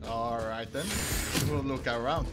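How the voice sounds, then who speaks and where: A man's voice: medium pitch, fairly even intonation, unhurried. A man giving casual, joking and teasing commentary while playing a game. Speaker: gaming streamer. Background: game music.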